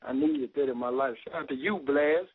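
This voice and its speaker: An American man on a radio show, giving casual, emphatic shout-outs and repeating himself. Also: medium pitch, a little fast, slightly rough, no room sound. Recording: thin phone-like radio line.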